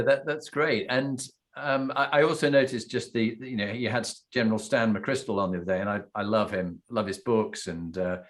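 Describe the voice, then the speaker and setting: Enthusiastic low-voiced man hosting an interview. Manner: warm, enthusiastic, quick to laugh, stammering restarts. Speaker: podcast host.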